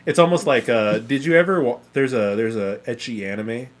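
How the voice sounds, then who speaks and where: A man's voice: medium pitch, expressive. A man co-hosting a podcast with casual, geeky banter, enthusiastic, agreeable and sweary. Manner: enthusiastic, quick to agree, profane. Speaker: podcast co-host.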